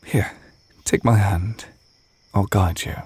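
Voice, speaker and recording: A man acting a gentle, adoring witch boyfriend in an intimate ASMR roleplay, soft-spoken and tender with a light teasing manner. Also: lowish voice, fairly even intonation, slow, husky, dry recording, full character voice. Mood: gentle, reflective.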